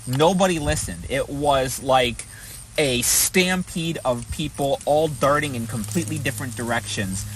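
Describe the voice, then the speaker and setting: A man casually recalling an experience off the cuff, halting and repeating words as he searches for phrasing. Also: medium pitch, fairly bright tone, a little hiss or hum. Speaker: storyteller recounting a protest. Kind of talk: casual unscripted recollection. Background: music.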